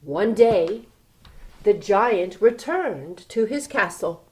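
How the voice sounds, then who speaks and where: A woman narrating a children's story in an expressive, theatrical style, inviting listeners along. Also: mid-range voice, slow pace, poor audio.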